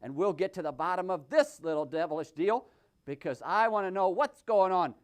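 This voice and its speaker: A man's voice: sits high, a little fast, voice pushed down, lightly acted. A man voicing a pompous, self-important county sheriff puppet who makes blustering declarations.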